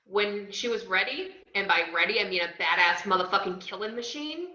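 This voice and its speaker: A woman's voice: mid-range voice, expressive, fairly quick, bright, forceful tone. A woman in a table read, playing a brash, cheeky young narrator with a sardonic, slangy way of telling a crime story. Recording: roomy, dull video-call audio.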